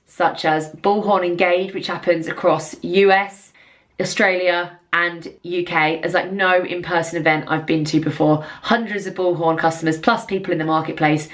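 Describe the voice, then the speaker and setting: A woman consultant presenting a semi-scripted review in an earnest, emphatic way. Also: fairly low pitch. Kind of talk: semi-scripted explainer to camera.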